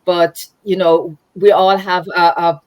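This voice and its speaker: A woman's voice: on the low side, flat delivery, slow, no echo, low-quality recording. A woman in her fifties with a Jamaican accent, a nonprofit founder speaking in a conversational interview, reflective, with frequent fillers and restarts. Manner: warm, reflective, many fillers and restarts, laughs easily.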